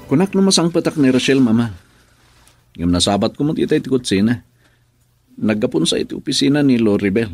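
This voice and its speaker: A man's voice: medium pitch, expressive, fairly quick, pauses often, a little mellow, soft background music. A man acting a defensive, troubled husband in an emotive Ilocano radio drama. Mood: evasive.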